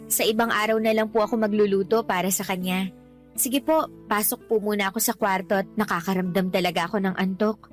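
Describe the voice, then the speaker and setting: A young daughter-in-law, acted by a woman in a radio drama, deferential on the surface and lazy underneath. Her voice sits at a middle pitch, with faint music behind it. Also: natural voice. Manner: deferential, excusing herself. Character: young pregnant live-in daughter-in-law, lazy, outwardly polite.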